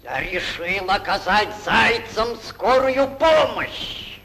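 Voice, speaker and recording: Cartoon villain: a man voicing a sly boa boss, high lively voice, barking orders over 1940s film music. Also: slow, gravelly, full character voice, poor audio. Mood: commanding. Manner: commanding, snaps "Эп!" orders. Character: sly domineering male boa constrictor boss.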